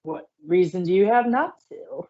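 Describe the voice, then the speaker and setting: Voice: mid-range voice, lively intonation, normal speed, a little mellow, dull audio, lightly acted. A performer voicing a gleeful, coaxing chaos spirit in a theatrical fanfiction reading. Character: gleeful trickster chaos spirit offering gifts.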